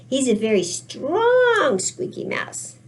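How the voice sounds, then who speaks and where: A woman's voice: high-pitched, huge pitch swings, slow pace, heavily acted. A woman voicing a helpful, confiding little cat in a children's story, in short simple sentences.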